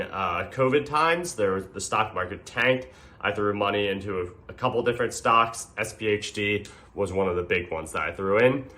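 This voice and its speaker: A man with a stuffed-up, nasal voice talking casually to camera, relaxed and hesitant, often saying um and clearing his throat. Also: on the low side. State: congested, nasal from a sinus infection. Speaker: video creator. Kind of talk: casual explainer talk.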